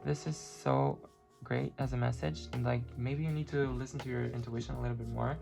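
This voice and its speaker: A card reader who is not a native English speaker, giving a spiritual pick-a-card reading and often pausing to search for words. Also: medium pitch, steady tone. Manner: halting, many fillers, searching for words. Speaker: tarot and oracle card reader. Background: clear background music.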